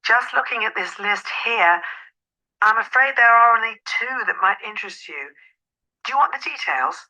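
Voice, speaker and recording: A woman's voice: on the high side, lively intonation, normal speed, pushed and bright, rough recording. A woman playing a polite, helpful estate agent in an acted phone dialogue, businesslike and courteous.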